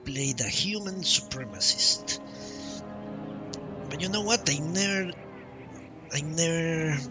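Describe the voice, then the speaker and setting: A man streaming a game live, giving blunt, casual commentary with some swearing. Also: fairly high pitch. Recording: faint game music behind voice. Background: game music.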